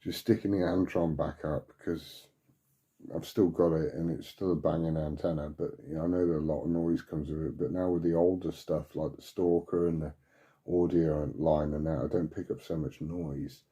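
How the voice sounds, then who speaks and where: A man in casual CB radio chat, musing and thinking out loud. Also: extremely low pitch, fairly even intonation, moderate pace. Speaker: CB radio hobbyist asking for advice.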